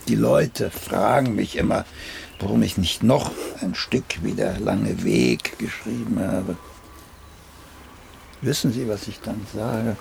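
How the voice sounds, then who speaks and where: Gravelly, imperious old playwright. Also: male voice, fairly low pitch, slow pace, darkish tone, full character voice. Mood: grumbling, vain. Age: older. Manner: curt, commanding, self-important. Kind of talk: German radio play acting. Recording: faint background noise.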